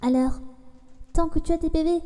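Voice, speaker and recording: A woman playing a gentle, practical human child in a comic dub, with a soft, questioning manner. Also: extremely high pitch, steady tone, normal speed, big-room sound. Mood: hopeful, reasoning. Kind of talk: dramatic fan comic dub.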